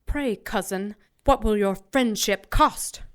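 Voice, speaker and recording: A woman playing a proud, righteous archangel in an audio drama, speaking in a clear voice with cool, skeptical dryness. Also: mid-range voice, slow, lightly acted. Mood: wary, dry. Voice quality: smooth, clear. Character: proud, righteous female archangel, wary and defiant.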